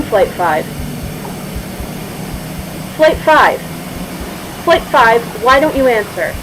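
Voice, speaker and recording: An actress as an urgent female flight dispatcher in a retro radio play. Her high voice calls out insistently over clear hiss. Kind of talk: 1930s radio drama acting.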